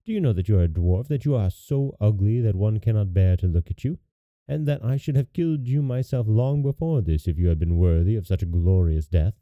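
A man's voice: fairly low pitch, animated, brisk, close to an everyday voice. A man voicing a bold young king in a storybook, indignant, commanding and scornful. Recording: roomy, narrow phone-like sound.

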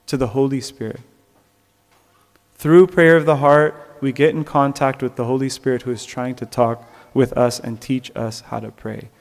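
A man's voice: mid-range voice, in a large hall. A man preaching a sermon in an earnest way, rising at times to emphatic peaks. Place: church.

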